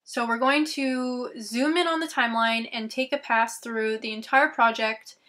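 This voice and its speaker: A young woman in her twenties who is losing her voice, walking viewers through video editing steps slowly and clearly from a small bedroom. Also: fairly high pitch, steady tone, sharp tone. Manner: slow, step-by-step instructions, chatty asides. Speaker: YouTube tutorial host. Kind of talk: screen-recorded software tutorial.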